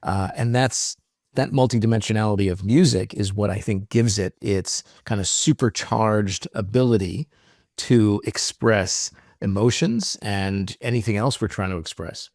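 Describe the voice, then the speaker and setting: Man, lower-pitched voice, reflective, explanatory, dry close sound. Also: unhurried, darkish tone, dry recording. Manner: thoughtful, explanatory, frequent "right?" and "um". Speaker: podcast guest, musician. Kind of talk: reflective interview talk.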